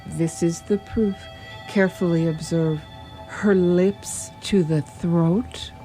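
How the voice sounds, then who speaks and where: Commanding older professor and vampire hunter for radio drama, played by a woman: deliberate, unhurried, solemn, oddly ordered formal phrases, faint music underneath. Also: fairly low pitch, fairly even intonation, dark tone. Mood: grave, instructive. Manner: formal, deliberate, inverted foreign-style phrasing.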